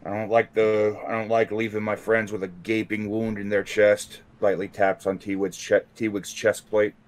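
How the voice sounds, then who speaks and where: A man playing a tabletop role-playing game, speaking improvised in-character dialogue in an earnest, measured way. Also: on the low side, little pitch movement, slight background noise.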